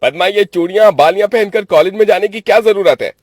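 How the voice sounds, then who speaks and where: A man voicing a menacing, domineering young man with a harsh, commanding way of talking, in an animated story. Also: lowish voice, fairly quick, soft background music, natural voice. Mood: angry scolding.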